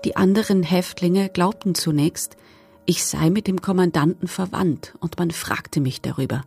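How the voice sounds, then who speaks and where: A woman's voice: on the low side, moderate pace, vocal fry, a little mellow, soft background music. A woman playing a former camp prisoner, giving sober, factual testimony in a documentary radio drama. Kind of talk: documentary radio drama, read witness statement.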